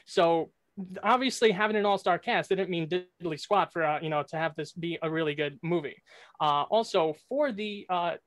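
Young male debater, high-pitched, cocky trash talk, dry close mic. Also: fairly bright tone, no echo. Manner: brash, trash-talking, rapid-fire points. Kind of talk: competitive debate argument.

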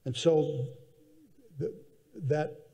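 An older man speaking as a guest on a conference panel, measured and thoughtful, often restarting his sentences, seated on a hall stage. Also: a little high, fairly even intonation, gravelly, breathy edge, muffled recording. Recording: reverberant convention hall.

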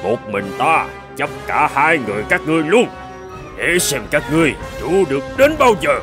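A man plays a brutish club-wielding monster from a fairy-tale cartoon. He taunts and boasts with swooping, animated delivery over faint music. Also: fairly high pitch, moderate pace. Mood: gloating. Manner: sneering, taunting.

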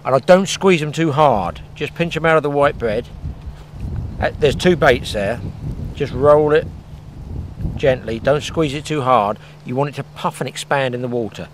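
A man's voice: mid-range voice, slight background noise. A man in his sixties giving a plain-spoken, practical angling demonstration outdoors on a lake bank, talking while he works with his hands. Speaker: fishing instructor.

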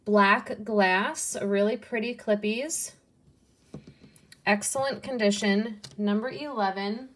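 Woman, young adult, unhurried, slow relaxed talk, soft muttered asides, casual haul video. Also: mid-range voice. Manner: unhurried, softly muttering asides, cooing over pieces.

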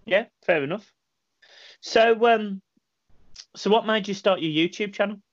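A man's voice: high voice, unhurried, dry recording. A man in his thirties hosting a fan channel, chatting casually over a video call from a small room at home and often repeating words as he starts a sentence. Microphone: headset on a video call. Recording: dry, dull lo-fi call audio.

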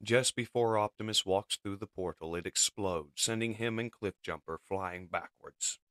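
A man's voice: fairly low pitch, moderate pace, a bit bright, dry recording, clean studio sound. A man narrating a comic book as a dramatic scripted reading. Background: music.

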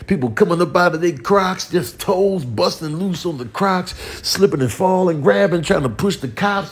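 A man with an American accent doing comic voiceover commentary in a theatrical, exasperated way full of inventive slang. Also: fairly high pitch.

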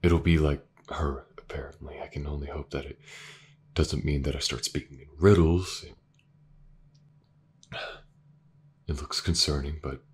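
A man playing a gentle, reassuring detective in an intimate audio roleplay, speaking softly and hesitantly, often near a whisper. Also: extremely low pitch, husky, full character voice. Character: gentle, reassuring male detective with an injured eye.

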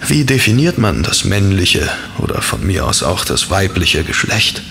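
A melancholy, world-weary fallen angel, low and gravelly. The delivery is sombre and confiding, as in an audio drama, with faint noise behind. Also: male voice, big pitch swings, moderate pace, exaggerated voice. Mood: weary, resigned. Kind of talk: horror audio drama acting.

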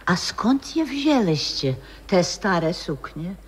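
A woman's voice: fairly low pitch, sing-song, slow, husky, big-room sound, heavily acted. An actress playing a frail old grandmother and former stage singer in a 1960s radio play, dreamy and often whispering. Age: older.

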